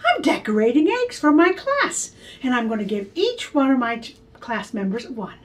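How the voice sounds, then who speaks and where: A woman's voice: on the high side, very animated, normal speed. A woman voicing an upset little girl who gets whiny and insistent. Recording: distant from the mic.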